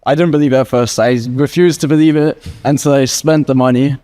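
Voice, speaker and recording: A man's voice: medium pitch, steady tone, fairly quick, warm tone, soft background music. A man of twenty answering interview questions in a casual, earnest way, with lots of filler words. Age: 20s. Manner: earnest, rambling with frequent "like" fillers. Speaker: guest trader.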